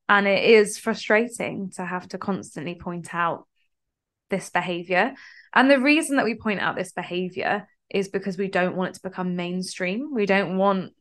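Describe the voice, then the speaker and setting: A woman's voice: mid-range voice, moderate pace, fairly bright tone. A British woman talking as a podcast guest and campaigner, informal and earnest in a conversational political discussion.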